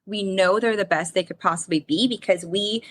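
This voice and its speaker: A woman's voice: medium pitch, moderate pace. A woman guest in an interview, talking expressively and searching for words. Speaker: guest, drinks company team member. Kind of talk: casual interview talk.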